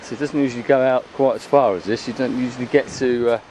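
A man talks in a chatty, rambling way at an ordinary pitch. He is giving an off-the-cuff walking commentary into a handheld camera's microphone. Clear outdoor noise of an open beach runs behind the voice. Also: steady tone, fast talker, darkish tone. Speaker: vlogger filming a beach walk. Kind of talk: casual, unscripted walking commentary. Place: open beach at low tide. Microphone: handheld camera's built-in microphone.

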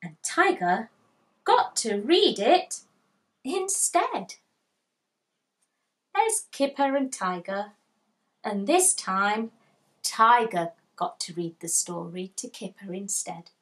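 A woman in her thirties reading a picture book aloud to children, expressive and deliberate, as a storytime host. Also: medium pitch. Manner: expressive, deliberate, asks listeners questions. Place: small furnished room indoors with bookshelves.

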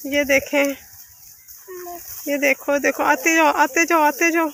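A woman's voice: high-pitched, steady tone, takes it slowly, gravelly, fairly bright tone, a little hiss or hum. A young woman vlogging outdoors on a farm with her phone, cheerfully chatting and coaxing her children along. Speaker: vlogger and mother. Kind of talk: casual family vlog narration. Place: open farm field outdoors.

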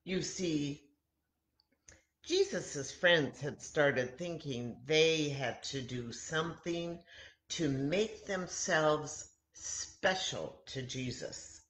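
A woman in her sixties talking and reading to children in simple, deliberate phrases with frequent pauses, as a story time host. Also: sits low, a bit bright. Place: home kitchen, indoors. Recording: roomy echoey home webcam recording.

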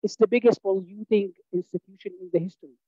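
Man, halting and emphatic. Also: sits high, fairly even intonation, mellow, dry recording. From Syria. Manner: halting, many fillers and restarts, emphatic. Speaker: audience member. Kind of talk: impassioned political comment. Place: small home room, indoors, video call. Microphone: headset mic. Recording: dull dry video-call audio.

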